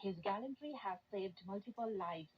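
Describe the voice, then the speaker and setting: A woman presents scripted news in a mid-pitched voice. She comes through a dull shortwave radio signal with a light layer of noise. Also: expressive, moderate pace.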